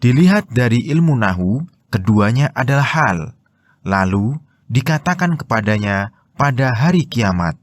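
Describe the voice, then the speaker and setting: Male Indonesian narrator for religious explainer, mid-pitched, slow and measured delivery. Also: lively intonation, no room sound, clean studio sound. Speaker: narrator of Quran translation and commentary.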